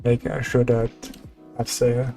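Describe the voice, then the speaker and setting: Young man, slow relaxed stream chat, laughs a lot, faint music behind. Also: medium pitch. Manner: laid-back, laughs often, says "damn, man" a lot. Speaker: streamer chatting with viewers while drawing. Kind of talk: casual livestream chat.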